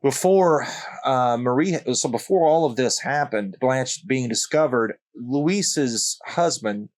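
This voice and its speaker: A man narrates a strange true story for his own channel, at an ordinary middle pitch. He talks loosely and conversationally, stopping now and then for wry asides aimed straight at the listener. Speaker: channel host.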